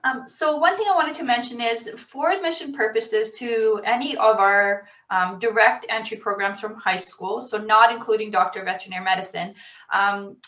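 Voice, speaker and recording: A woman's voice: medium pitch, fairly bright tone. Woman explaining admissions on a roomy video call. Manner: explanatory, methodical, many "um"s. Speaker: admissions officer. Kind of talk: webinar presentation. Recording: roomy, distant, dull video-call audio.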